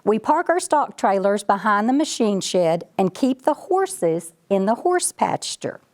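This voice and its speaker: Woman reading as boastful folksy ranch dog, lively pitch. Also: lightly acted. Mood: self-important. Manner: bragging, chatty, addressing the listener directly. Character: boastful, folksy male ranch dog, self-important security chief. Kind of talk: children's storybook read-aloud.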